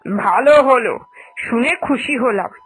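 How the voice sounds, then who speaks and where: A woman's voice: a little high, animated, unhurried, breathy edge, faint music behind, heavily acted, rough recording. Woman playing a plaintive elderly mother-in-law. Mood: relieved but doubtful. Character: aggrieved elderly mother-in-law, long-suffering and scolding. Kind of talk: Bengali cartoon dialogue acting.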